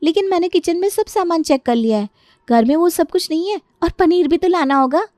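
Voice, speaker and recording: High-pitched, complaining young daughter-in-law, acted by a woman. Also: lively intonation, a little fast, darkish tone, no echo, studio quality. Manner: exasperated, dramatic complaining with exclamations. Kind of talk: acted Hindi family story dialogue.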